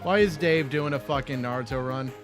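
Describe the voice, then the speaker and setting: A man's voice: medium pitch, fairly quick, over music. A man hosting a casual reaction video, talking bluntly and swearing a lot. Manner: blunt, heavily profane.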